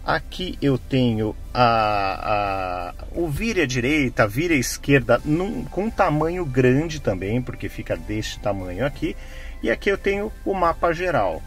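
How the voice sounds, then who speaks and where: A man's voice: mid-range voice, big pitch swings, unhurried. Middle-aged Brazilian man explaining, music behind. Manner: conversational, explanatory, frequent tag questions. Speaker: tech vlogger, host. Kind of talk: casual hands-on product demonstration. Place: inside a parked car. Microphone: phone camera microphone, no external mic.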